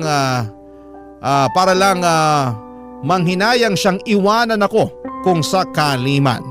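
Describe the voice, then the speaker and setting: A man with a Filipino accent narrating a scripted first-person love story as a radio drama reader. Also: on the high side. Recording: prominent music bed behind narration. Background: background music.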